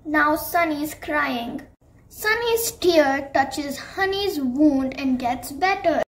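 A woman hosting her channel and narrating a children's bedtime story in a slow, sing-song way. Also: sits high, lively intonation, rough recording.

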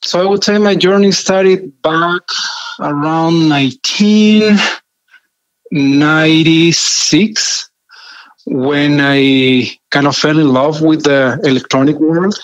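A man in his thirties from Chile, with a Chilean Spanish accent, telling his story conversationally as an interview guest in measured, hesitant phrases. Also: fairly high pitch, no echo, dull audio. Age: 30s. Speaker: podcast guest, engineering project manager.

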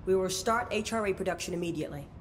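A woman's voice: medium pitch, racing, a little hiss or hum, close to an everyday voice. An actress playing a stern, guarded middle-aged woman who commands agency operations in a video game, speaking in a firm, clipped, authoritative way. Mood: grave, guarded. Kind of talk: video game cutscene acting.

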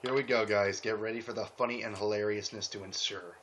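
A man's voice: fairly low pitch, fairly even intonation, fast talker, fairly bright tone, slight background noise. A man hosting a group fan fiction reading over an online voice call, talking casually with his friends. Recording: muffled lo-fi voice-call sound.

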